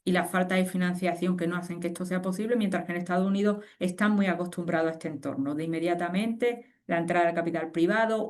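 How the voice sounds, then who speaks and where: A woman, a guest expert speaking in a conversational webinar interview, animated and explanatory with frequent fillers and restarts. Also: lowish voice. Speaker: guest expert on fusion energy.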